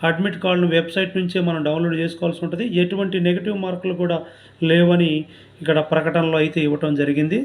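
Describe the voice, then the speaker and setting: A man's voice: fairly high pitch, level tone, very fast. A man with a Telugu accent hosting an informational channel, reading out job notification details item by item in an instructional way. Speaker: YouTube channel host.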